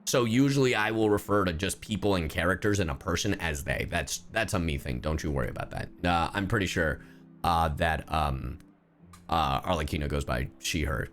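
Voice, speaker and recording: A man livestreaming a game with casual commentary, rambling in an animated way and swearing freely. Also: low-pitched, normal speed, slight background noise.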